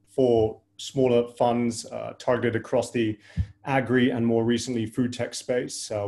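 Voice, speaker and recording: A man's voice: on the low side, warm tone. A middle-aged man introducing himself as an investor on a remote panel. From Hawaii, United States.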